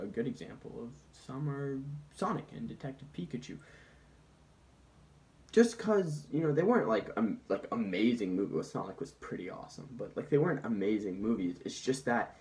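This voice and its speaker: Male commentator, low-ish voice, loose comedic video-essay delivery with bantering, defensive asides. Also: darkish tone. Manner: informal, mock-defensive asides, conversational. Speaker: film commentator presenting points.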